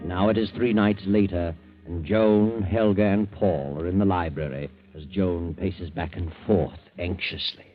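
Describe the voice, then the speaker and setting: An actor playing an ominous, measured storyteller, narrating a 1940s radio crime mystery on a muffled old recording with music behind. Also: male voice, deep voice, fairly even intonation. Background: dramatic music.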